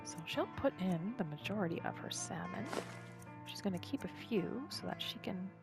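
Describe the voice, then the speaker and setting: A woman narrating a game roleplay in a gentle, hushed ASMR style, sometimes dropping to a whisper, unhurried. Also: on the low side, expressive. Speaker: gameplay narrator. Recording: music behind the voice. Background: music.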